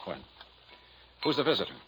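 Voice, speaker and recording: An actor playing a cool, wry hard-boiled detective and narrator, clipped and knowing, in 1950s radio style. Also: male voice, mid-range voice, very animated, a little fast, background noise. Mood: probing, sardonic. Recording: vintage radio drama recording.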